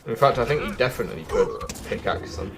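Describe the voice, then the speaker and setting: A man's voice: on the low side, lively intonation, fast, vocal fry. A man giving casual, chatty walkthrough commentary as a gaming video host, often thinking aloud. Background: background music.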